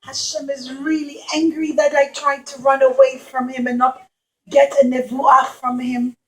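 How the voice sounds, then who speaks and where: A woman's voice: high voice, level tone, normal speed, close to an everyday voice, poor audio. A woman voicing an earnest, pleading prophet confessing his fault in a children's puppet show. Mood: pleading, remorseful.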